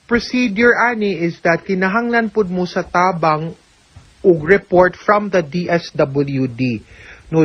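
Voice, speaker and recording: A woman with a Cebuano Filipino accent giving conversational, step-by-step legal advice in answer to listener questions, often adding small check-in tags. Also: on the low side. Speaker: legal adviser on a radio programme. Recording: dull, lo-fi radio audio.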